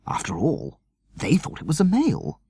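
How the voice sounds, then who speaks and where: A man's voice: a little high, huge pitch swings, moderate pace, mellow, slightly rough, no room sound, pitched above the performer's own voice, exaggerated voice. A man voicing an anxious, easily distressed mother who gushes over small creatures in a children's audiobook. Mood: mild, reasonable.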